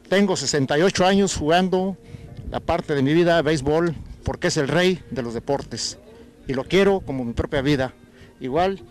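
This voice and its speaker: An older man, a veteran baseball player speaking earnestly into a handheld mic on an outdoor field. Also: fairly high pitch.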